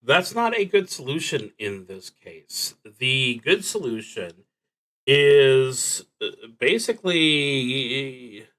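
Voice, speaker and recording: A man's voice: medium pitch, big pitch swings, slow, a bit bright, rough recording. A man narrating his solo roleplaying game unscripted, thinking aloud in a hesitant, reflective way. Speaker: streamer playing solo tabletop roleplaying games. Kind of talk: unscripted play-through commentary, thinking aloud.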